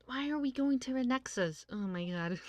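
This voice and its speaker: A woman's voice: on the high side, lively intonation, normal speed, a little mellow. A woman streaming a game, giving casual live reaction commentary. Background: game music and dialogue.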